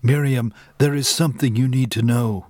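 A man voicing a calm, blunt adult male healer who explains things firmly. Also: mid-range voice, moderate pace, dry recording, studio quality, lightly acted. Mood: grave. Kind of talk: audiobook character reading.

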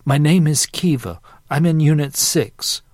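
A gentle young woman of storybook fiction played by a man, sweet and polite. The voice is lifted in pitch and takes its time. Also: lively intonation, measured pace, no room sound. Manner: sweet, soft-spoken.

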